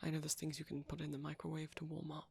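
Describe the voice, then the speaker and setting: A woman's voice: sits low, completely flat delivery, quick pace, creaky, husky, heavily acted. Woman acting a shy, whispery young male vampire. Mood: shy, caring. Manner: hesitant, stammering, softly whispery. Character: shy gentle young male vampire, anxiously considerate boyfriend. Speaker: audio roleplay performer. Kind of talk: ASMR boyfriend roleplay.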